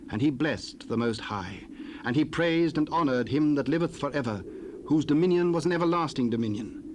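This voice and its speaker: A man narrating a dramatized scripture reading in a measured, solemn way. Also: medium pitch. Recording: cassette tape hiss.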